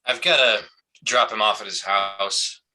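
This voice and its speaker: An actor playing a blunt, weary cop in a staged reading, talking in a halting, colloquial way. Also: male voice, fairly low pitch, pushed and bright, low-quality recording. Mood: matter-of-fact. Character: blunt, gruff small-town male cop, weary and sardonic. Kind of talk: live staged play reading.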